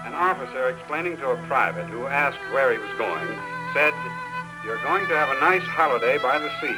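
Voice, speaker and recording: A man playing a 1940s network radio announcer with a medium-pitched voice and a composed, formal delivery. Loud music competes with him on the aged broadcast recording. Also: natural voice, poor audio.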